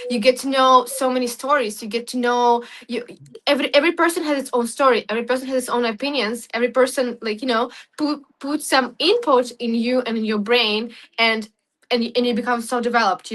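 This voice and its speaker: A young adult woman from Ukraine, a guest talking excitedly in an interview, often restarting her sentences. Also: fairly high pitch, fairly quick. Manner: excited, frequent restarts and laughs. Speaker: guest, content creator. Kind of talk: casual interview talk.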